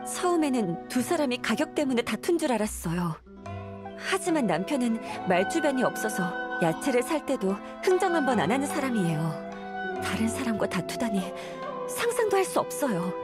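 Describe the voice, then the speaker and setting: A worn-down wife and mother in a video game. Her voice is high and swings expressively as she explains things politely and plaintively, with clear music in the background. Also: big pitch swings, moderate pace. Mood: worried, resigned. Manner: polite, plaintive, earnest storytelling. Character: weary, apologetic wife and mother burdened by debts.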